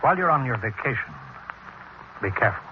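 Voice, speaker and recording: A muffled, faintly noisy vintage radio recording features an actor playing a captain. He is a man of medium pitch, grave and official, pressing his point in measured fifties radio-drama style. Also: sing-song, natural voice. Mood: grave, controlled. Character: grave, insistent male captain, a cautioning superior. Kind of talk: 1950s radio acting.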